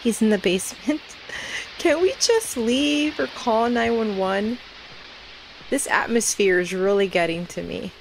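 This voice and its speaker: A woman's voice: a little high, measured pace, creaky, a little hiss or hum, poor audio. A woman giving casual, nervous commentary as she plays a horror game, thinking aloud. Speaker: gaming video host.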